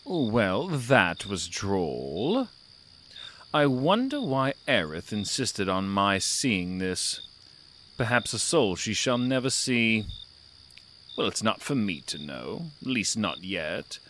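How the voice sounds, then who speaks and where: A man's voice: fairly low pitch, sing-song, slow pace, fairly bright tone, a little hiss or hum, heavily acted. A man voicing a haughty, curious cat guide in an audio drama, with a droll, aloof, condescending drawl. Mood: bored musing. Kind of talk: theatrical audio drama narration. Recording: night insect ambience behind the voice.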